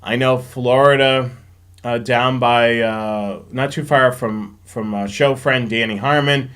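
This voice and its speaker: A man's voice: mid-range voice, fairly even intonation, slow pace, fairly bright tone, clear, resonant tone. Casual man hosting a hobby live stream. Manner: informal, explanatory, thinking aloud. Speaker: host of a railfanning live show. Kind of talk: casual live-stream question and answer chat.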